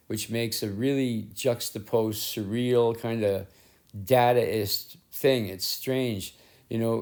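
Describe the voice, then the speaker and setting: Raspy-voiced middle-aged man, rambling. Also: lowish voice, darkish tone. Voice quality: rough, raspy voice. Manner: rambling, self-deprecating, halting with restarts. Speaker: collage artist and painter. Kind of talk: casual narration while working. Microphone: head-mounted action camera.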